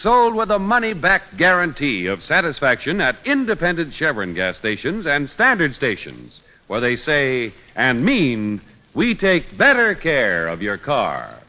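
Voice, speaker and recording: A male show announcer in classic mid-century radio style. His voice is fairly high, forceful and animated. It comes from a dull old recording with light music behind. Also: measured pace. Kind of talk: 1950s radio announcing, scripted credits and sponsor read.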